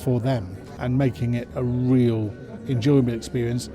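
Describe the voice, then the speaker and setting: Man, medium pitch, conversational and emphatic interviewee, clear music bed underneath. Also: steady tone, moderate pace. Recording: music playing behind the voice.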